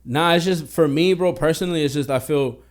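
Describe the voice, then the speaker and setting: Fast-talking young man, candid. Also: on the high side. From Boston. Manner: streetwise, candid, heavy swearing. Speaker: podcast guest. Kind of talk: casual podcast conversation.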